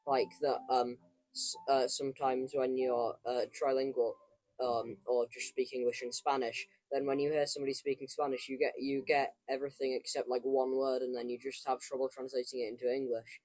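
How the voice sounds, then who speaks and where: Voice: mid-range voice, level tone, brisk, a little mellow, dry recording, rough recording. A teenage student co-hosting a casual podcast, rambling through a story with many stops and restarts. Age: teens. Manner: rambling, full of ums and restarts. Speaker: podcast co-host. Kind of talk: casual chat.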